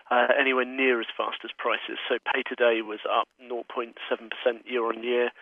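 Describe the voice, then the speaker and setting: A man speaking over a telephone line as an economist guest, giving measured, analytical answers in an interview. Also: medium pitch, no room sound. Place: remote, on a phone call. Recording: narrow, tinny phone line.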